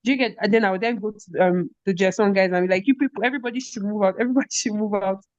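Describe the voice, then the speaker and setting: Animated Nigerian woman telling school stories. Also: mid-range voice, rapid-fire, no echo, lo-fi sound. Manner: animated storytelling, with frequent laughter and "do you get?". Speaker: podcast guest, former head girl. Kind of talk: casual conversational interview.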